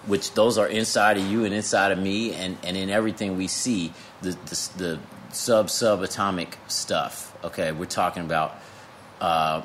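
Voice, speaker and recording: A man's voice: deep voice, fairly even intonation, a bit bright. A man in his thirties hosting a casual conversational interview, informal and salty, restarting his phrases as he explains things. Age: 30s. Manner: informal, profane, halting restarts when explaining. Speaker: podcast host. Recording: noticeable background noise.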